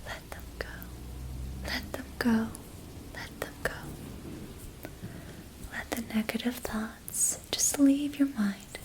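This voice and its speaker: A young woman whispering a gentle ASMR reiki relaxation in soft, slow, repetitive phrases. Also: a little high. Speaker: ASMR creator.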